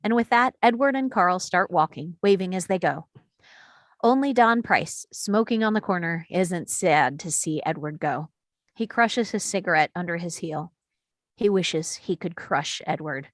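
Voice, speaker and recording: A woman's voice: lowish voice, lively intonation, measured pace, no echo, studio quality. A woman reading stage directions as the narrator of a screenplay table read, in a scripted storytelling style.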